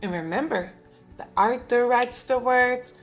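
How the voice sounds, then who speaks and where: A woman teaching preschoolers, reading a picture book aloud in an instructive way, speaking clearly to children and asking them questions. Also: on the high side, a little slow, soft background music. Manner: instructive, asks the listeners questions, enunciates for children. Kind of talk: read-aloud lesson for young children.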